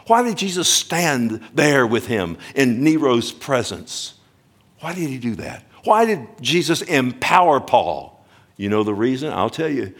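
Man preaching with rising and falling force. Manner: preaching, swelling loud on quoted promises and dropping low on asides; asks the congregation for an amen. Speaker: pastor. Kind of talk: sermon.

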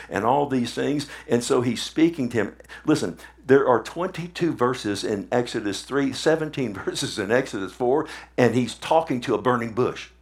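Animated preacher asking "Amen?". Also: male voice, mid-range voice. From Texas. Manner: animated, asks the congregation "Amen?", paraphrases scripture in his own words. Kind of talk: sermon.

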